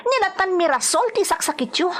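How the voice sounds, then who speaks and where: An actress with a Filipino accent playing an emotional, resentful twin sister in melodramatic radio drama style. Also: female voice, very high voice, big pitch swings, a little fast. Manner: melodramatic, impassioned. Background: music.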